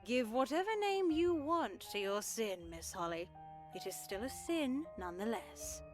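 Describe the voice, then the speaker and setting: A woman voicing a stern, pious head of a religious house in a visual novel, measured, formal and coldly polite. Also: fairly high pitch, animated, music in the background, lightly acted. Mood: coldly reproachful. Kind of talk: visual novel character acting.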